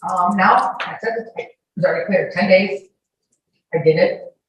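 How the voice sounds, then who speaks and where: A woman's voice: lowish voice, normal speed. A woman testifying as a witness in a courtroom in an informal, rambling, colloquial way. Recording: echoey courtroom, distant mic, faint noise.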